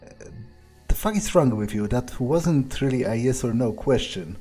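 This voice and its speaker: A man's voice: mid-range voice, animated, moderate pace, warm tone, soft background music, lightly acted. A man playing a crude, cocky, irritable male vampire in a game, muttering profane asides to himself. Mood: irritated. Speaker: game player in character. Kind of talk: improvised in-character game playthrough.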